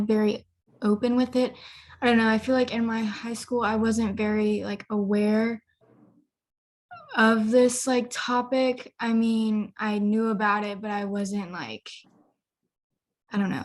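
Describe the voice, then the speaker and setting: A woman's voice: on the high side, fairly even intonation, moderate pace. A young woman student speaking uncertainly in a class discussion, trailing off and saying "like" a lot. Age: young adult. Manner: unsure, trailing off, many "like"s.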